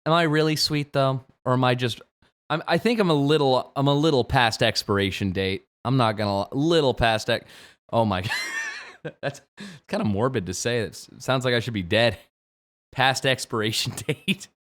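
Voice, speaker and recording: A man in his twenties, a game streamer, chatting jokily on a late-night livestream and jumping from low mumbles to sudden shouts. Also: moderate pace. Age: 20s. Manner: playful, jokey, swings from mumbling to sudden shouts.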